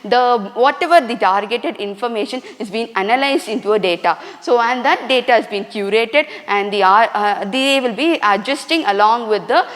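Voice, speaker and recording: A woman lecturer giving a classroom lecture, halting often with restarts and fillers. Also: on the high side, fairly bright tone, in a large hall.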